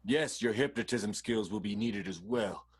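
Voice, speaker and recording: An actor playing an earnest, coaxing schemer in an improvised comic radio soap, with an emphatic, melodramatic delivery. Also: male voice, lowish voice, normal speed, slightly rough. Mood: earnest, reassuring. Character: earnest, coaxing male schemer rallying his partners.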